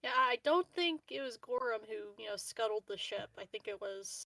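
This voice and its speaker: A woman's voice: on the high side, expressive, moderate pace, creaky, sharp tone, dry recording. A woman chatting casually as a player in a tabletop roleplaying game.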